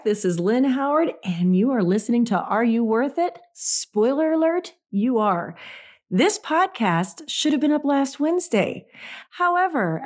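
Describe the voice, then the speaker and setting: A woman, hosting her own podcast in a casual, chatty way, laughing often. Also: a little high. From Midwest United States. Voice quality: raspy. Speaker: podcast host. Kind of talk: casual solo podcast chat.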